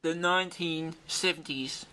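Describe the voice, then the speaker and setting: An Australian man with a fairly high, bright and forceful voice. He talks like a hobbyist host in a casual demonstration, informal and rambling, often thinking aloud. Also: steady tone, unhurried, clear, resonant tone. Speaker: video host demonstrating a vintage radio.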